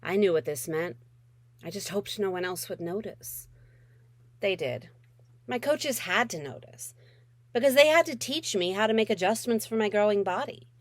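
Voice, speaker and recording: A woman narrates a middle grade novel in the first person, as an author reading her own work. Her pitch moves widely, with wry, dramatic emphasis on key phrases. The sound is dry, with very little room. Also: animated, normal speed, no room sound.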